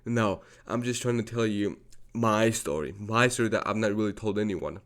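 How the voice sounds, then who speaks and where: A young man from Georgia, a native Georgian speaker, talks in a fairly low voice. He is earnest and repetitive and swears often as he tells his own story. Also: normal speed, fairly bright tone. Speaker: vlogger. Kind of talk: personal confessional monologue.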